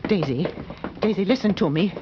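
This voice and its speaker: A woman's voice: lowish voice, lively intonation, fairly quick, close to an everyday voice. An actress playing an anxious, deferential middle-aged landlady with a hesitant, placating manner in an old radio drama. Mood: uneasy. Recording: muffled, noisy old radio recording.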